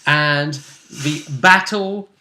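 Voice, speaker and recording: A man with a fairly high, bright and forceful voice acts as game master. He recaps a tabletop roleplay session in a casual way, chatting through the action with animated narration. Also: extremely slow, poor audio.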